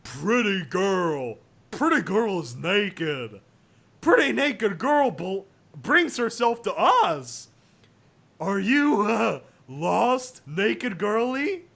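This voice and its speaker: Man putting on a slow, leering dim-witted thug boy. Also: sits high, sing-song, creaky, a bit bright, full character voice. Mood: leering, dopey. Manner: slow, halting, simple speech. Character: dim-witted drooling thuggish teenage monster boy, leering.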